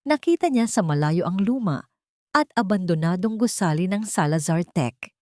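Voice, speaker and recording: A woman narrating a scripted love story in a measured, sentimental, dramatic storytelling manner. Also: on the low side, a little mellow, no room sound, clean studio sound. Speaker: audiobook-style story narrator.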